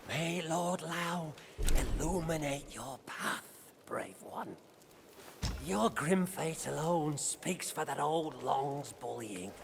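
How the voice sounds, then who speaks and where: A man playing a serene, courtly old sage in a video game, speaking in formal, archaic, measured phrases. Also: a little high, creaky, noisy background. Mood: solemn, placating.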